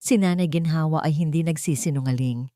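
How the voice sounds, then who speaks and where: A woman narrator reading the lines of a steady, reassuring, devoted man in a dramatic story. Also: low voice, fairly quick, no echo, studio quality, close to an everyday voice. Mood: calm, certain.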